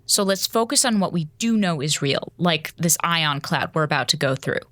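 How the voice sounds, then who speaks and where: A woman playing a level-headed space station commander in an audio drama, speaking in a firm, brisk, no-nonsense way. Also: low-pitched, lively intonation, a bit bright, dry recording. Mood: steadying.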